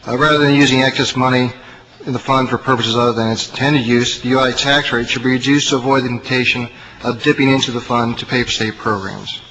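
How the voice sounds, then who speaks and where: A man's voice: mid-range voice, steady tone, quick pace, sharp tone, low-quality recording. A man delivering a prepared statement as a witness at a legislative hearing. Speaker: business chamber representative testifying. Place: legislative committee hearing room. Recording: hearing audio with faint noise.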